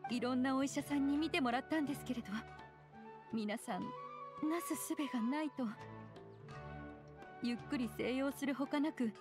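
An anxious mother from an anime game played by a woman talks in a high, gentle voice. Her speech is polite and worried, with faint music behind it. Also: normal speed, long pauses, lightly acted. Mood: sorrowful. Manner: soft-spoken, polite, worried. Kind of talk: Japanese anime game voice acting.

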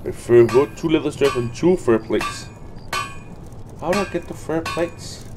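Slow-talking man muttering game commentary. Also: a little high, over music. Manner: muttering to himself, reading item names aloud. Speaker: gamer recording a let's play.

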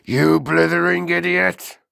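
Man playing a booming, tyrannical headmistress, pitched high. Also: lively intonation, takes it slowly, gravelly, a bit bright, dry recording, clean studio sound, full character voice. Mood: furious. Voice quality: booming, barking. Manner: menacing, hectoring, contemptuous. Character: enormous tyrannical headmistress, a bellowing, insulting bully woman.

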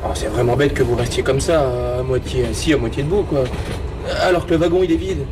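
Man acting cocky young guy, train rumble behind. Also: quick pace, lightly acted. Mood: ingratiating. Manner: slangy, swearing, pushy. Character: cocky young male ringleader, pushy skirt-chaser. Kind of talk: French radio drama acting. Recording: loud vehicle rumble behind.

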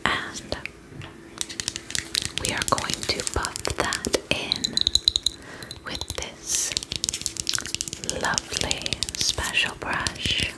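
A woman whispering breathily in a gentle, soothing, reassuring way as a spa attendant in an ASMR personal-attention roleplay. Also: drawn-out words, pauses often, poor audio.

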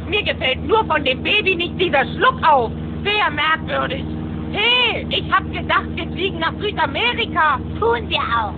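A woman's voice: very high-pitched, lively intonation, normal speed. A woman playing a plucky, cheeky little boy duckling in a German cartoon audio play. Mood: questioning. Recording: dull cassette sound, engine noise behind.

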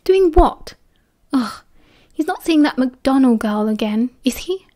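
Woman putting on a soft, girlish, haughty schoolgirl. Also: high-pitched, lively intonation, slow pace. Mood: petulant. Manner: coy, entitled.

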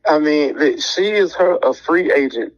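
A man's voice: on the high side, expressive, unhurried. An American man arguing casually over the phone in a challenging manner. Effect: phone line. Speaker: person on the phone. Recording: dull lo-fi phone call.

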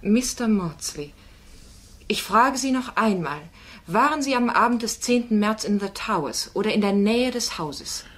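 A woman's voice: medium pitch, expressive, measured pace, fairly bright tone. A woman playing a firm, probing female defence lawyer in a crime radio play, with insistent, pointed questioning. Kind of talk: German radio drama acting.

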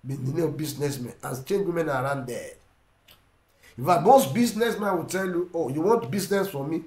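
High-voiced preacher in an echoey room. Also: male voice, brisk. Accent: Ugandan English. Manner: emphatic preaching, repeats phrases, swings between loud and quiet. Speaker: pastor. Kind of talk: sermon. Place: indoor room with plain wall. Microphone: seated facing camera.

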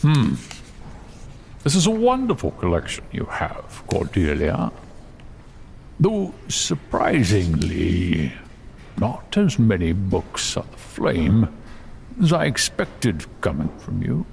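An actor playing a bookish, courteous, gently amused male scholar in a fantasy audio drama, with a deliberate, musing way of talking full of hums. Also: deep voice, wildly swooping pitch, creaky, a little hiss or hum, exaggerated voice.

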